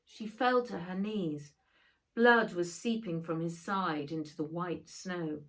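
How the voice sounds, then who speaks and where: A woman reading a children's adventure book aloud in expressive narration, as an author reading her own work. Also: on the low side, slow pace.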